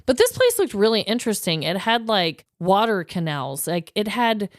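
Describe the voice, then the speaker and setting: Woman, podcast co-host, very animated intonation, dry close sound, informal comedic chat. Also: mid-range voice, normal speed, no echo. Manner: chatty, informal, expressive. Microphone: close podcast microphone.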